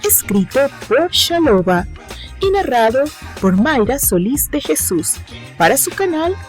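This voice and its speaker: A woman's voice: high voice, sing-song, a little slow, faint music behind. Mexican woman reading romance fiction aloud. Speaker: audiobook narrator and channel host. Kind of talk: dramatic reading of a romance story with chatty recap.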